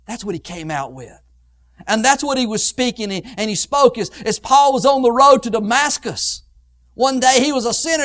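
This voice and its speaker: A man preaching a sermon in an emphatic oratorical style, with repeated phrases and dramatic pauses, swinging between hushed and loud delivery. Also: extremely high pitch.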